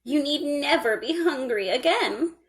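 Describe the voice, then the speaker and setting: A woman's voice: high-pitched, lively intonation, measured pace, voice pushed up. A woman acts out an elderly storybook woman with magic to share. Her voice is crackly and pleasant. She speaks in a reassuring, instructive manner, as if guiding a child. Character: kindly old woman with a magic pot.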